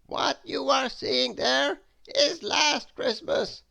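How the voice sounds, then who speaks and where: A woman voicing a mysterious wizard in a storybook, slow and solemn. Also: sits high, gravelly, pushed and bright, pitched above the performer's own voice, exaggerated voice.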